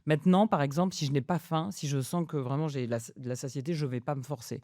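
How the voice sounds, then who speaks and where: A woman's voice: sits low, quick pace, a little mellow, no room sound, clean studio sound. A woman in her fifties, a food journalist chatting casually as a guest, hesitant with many fillers and easy laughs. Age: 50s. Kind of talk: casual conversation.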